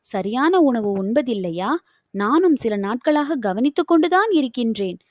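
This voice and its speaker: A woman's voice: fairly high pitch, lively intonation, moderate pace, a little mellow, dry recording, clean studio sound, close to an everyday voice. A woman voicing a sly, falsely concerned fox in a children's storybook, with an insinuating manner. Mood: coaxing.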